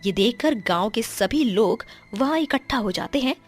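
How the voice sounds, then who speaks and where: A woman's voice: on the high side, expressive, normal speed, faint music behind. A woman narrator reading a scripted horror story for a cartoon.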